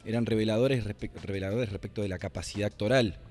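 An Argentine man in his forties talks as an interviewed radio producer. His voice is fairly low and his delivery reflective and halting, over faint music. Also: fairly even intonation, a little mellow. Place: indoor library.

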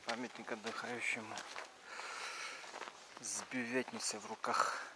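A middle-aged man giving loose, halting commentary as a travel vlogger while walking through a snowy park. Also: medium pitch, flat delivery, creaky, heavy background noise. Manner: halting, loose, repeats himself.

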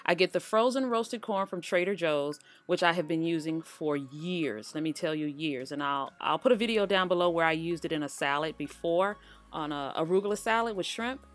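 Chatty woman, low voice. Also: normal speed. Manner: chatty, relaxed, talks straight to viewers. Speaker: cooking channel host. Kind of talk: casual cooking voice-over. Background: faint background music.

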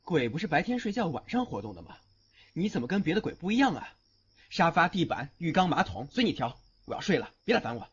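A man's voice: a little high, big pitch swings, quick pace, breathy edge, exaggerated voice. A man playing a curt, cool young male student who sees ghosts, in an audio drama. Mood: annoyed. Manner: curt, cool, threatening when annoyed. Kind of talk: Chinese audio drama acting. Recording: dull lo-fi audio, background music.

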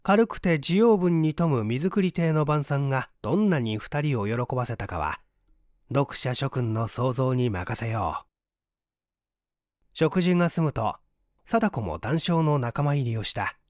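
A man's voice: medium pitch, a little mellow, dry recording, clean studio sound. A man narrating a literary story aloud in a dramatic reading style. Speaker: audiobook narrator and stage performer.

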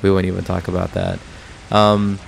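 A man with a very low voice and plenty of gravelly fry talks casually through a mobile game session. His nose sounds stuffed up from a cold, and he rambles with many filler words. A faint background noise sits under his voice. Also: little pitch movement, normal speed, low-quality recording. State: nasal from a cold. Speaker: mobile game video host. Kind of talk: casual gameplay commentary.

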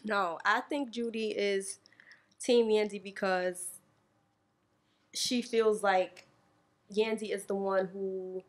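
A young woman from Spanish Harlem with a New York accent, talking bluntly and with energy as a podcast guest. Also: medium pitch. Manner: blunt, animated storytelling. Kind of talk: casual chat. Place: small stage studio, indoors. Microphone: stand mic.

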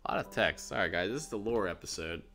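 Low gravelly guy doing gameplay commentary, voice bounces around a lot, faint game music behind. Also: lively intonation, quick pace, pushed and bright. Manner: chatty, muses aloud while reading on-screen text. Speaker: let's play host. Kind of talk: gaming commentary.

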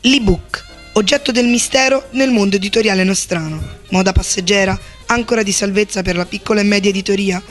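A man reading a scripted news voice-over in a measured, questioning way. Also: high voice, fairly bright tone, soft background music. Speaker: reporter narrator.

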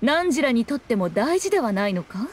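A woman's voice: fairly high pitch, big pitch swings, normal speed. A woman voicing a proud, sly, ageless fox-spirit shrine maiden in an anime game, with haughty, old-fashioned speech.

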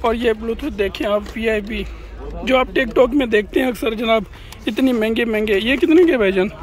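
A man's voice: extremely high pitch, normal speed. Chatty man touring an outdoor market. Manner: chatty, keeps addressing viewers as "janab". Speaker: vlogger. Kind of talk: walking market tour vlog. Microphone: phone camera held by the walking speaker.

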